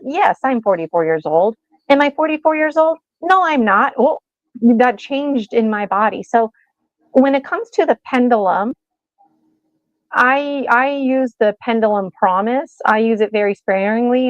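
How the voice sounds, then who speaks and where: A woman's voice: a little high, animated, moderate pace, dry recording. Woman in her forties, oracle card reading, chatty, rambling, dry dull lo-fi sound. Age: 40s. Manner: chatty and rambling, laughs often, acts out small voices. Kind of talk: casual spiritual oracle reading.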